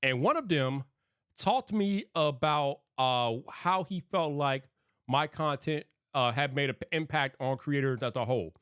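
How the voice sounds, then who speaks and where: A man's voice: medium pitch, lively intonation, unhurried, a bit bright, smooth, no room sound. Man with a lisp, dry close sound. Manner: earnest, rambling, sometimes hypes viewers. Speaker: tech YouTube creator live streaming. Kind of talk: casual livestream talk to chat.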